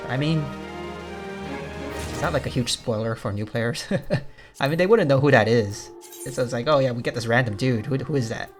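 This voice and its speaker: A man giving casual, rambling let's play commentary in an understated way, thinking aloud as he goes. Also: mid-range voice. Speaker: gaming video host. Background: music behind the voice.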